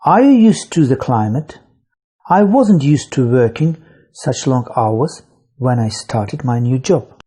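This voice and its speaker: A narrator reading an English grammar lesson slowly and deliberately from a script, with example sentences. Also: mid-range voice, expressive, warm tone, breathy edge. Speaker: English teacher.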